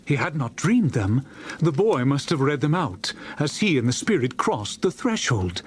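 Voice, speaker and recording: Male narrator for dramatic audiobook: solemn, mid-pitched, widely varied intonation. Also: normal speed, a little hiss or hum. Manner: solemn, expressive. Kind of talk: dramatic scripted storybook narration.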